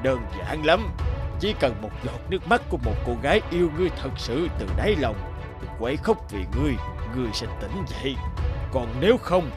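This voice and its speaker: An actor playing an imposing, stern god of death in a cartoon, solemn and commanding, with music underneath. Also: male voice, medium pitch, very animated, fairly quick, natural voice. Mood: solemn, ominous. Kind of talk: cartoon dubbing.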